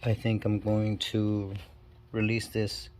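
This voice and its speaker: A man's voice: lowish voice, monotone, a little mellow. Stuffy-nosed man talking through car repairs. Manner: talks while working, halting and self-deprecating. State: stuffy, nasal from a cold. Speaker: channel host. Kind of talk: casual DIY garage walkthrough. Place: home garage.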